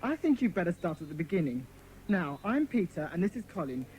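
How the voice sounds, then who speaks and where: A lively, brisk schoolboy played by a woman, confident and a little bossy as leader of his club. The delivery is authoritative, in a children's audio drama, over clear background noise. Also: on the low side, low-quality recording. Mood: inquiring, reassuring.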